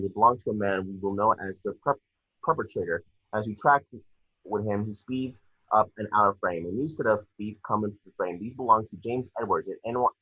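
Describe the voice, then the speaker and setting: A man reads stage directions for a film script in a lowish, slightly husky voice. He is matter-of-fact and trips on the odd word. The home recording is a bit dull. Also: pushed and bright. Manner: matter-of-fact, with stumbles over words. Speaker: narrator reading action descriptions. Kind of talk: scripted narration at a table read.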